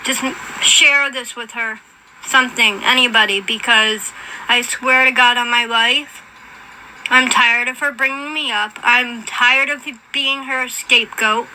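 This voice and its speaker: A woman's voice: a little high, fairly even intonation, measured pace, pushed and bright, slight background noise, low-quality recording. A woman in a fed-up, confrontational, profane off-the-cuff rant to an online audience. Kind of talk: angry off-the-cuff livestream rant.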